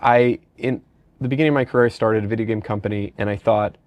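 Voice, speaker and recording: A man's voice: on the low side, steady tone, fast talker, darkish tone, no echo. A middle-aged man hosting a conversational podcast interview, casually asking questions and rambling through anecdotes. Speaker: podcast host, company leader.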